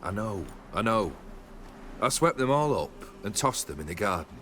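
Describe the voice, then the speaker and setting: A proud fantasy-game nobleman. His low voice moves expressively between courtly measure and imperious menace, over faint background noise. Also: very animated, moderate pace, fairly bright tone. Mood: tender, courtly. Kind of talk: dramatic video game voice acting.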